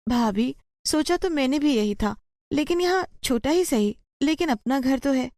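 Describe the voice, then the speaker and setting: Storybook role: troubled poor mother and maid, played by a woman narrator, pleading tone, expressive pitch, close dry sound. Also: normal speed, no echo. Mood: worried. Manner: pleading, sorrowful. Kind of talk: acted dialogue in a Hindi moral story.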